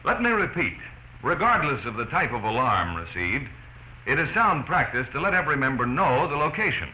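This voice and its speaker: A man's voice: medium pitch, very animated, moderate pace. An American man narrating a 1940s instructional film in an emphatic, instructive manner, addressing the listener directly. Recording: muffled, noisy 1940s film soundtrack.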